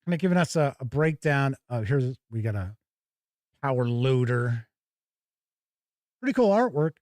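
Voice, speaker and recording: A man's voice: medium pitch, very animated, normal speed, a little mellow, dry recording. A man hosting a tabletop gaming show, chatting casually and thinking aloud as he gives live first-look commentary.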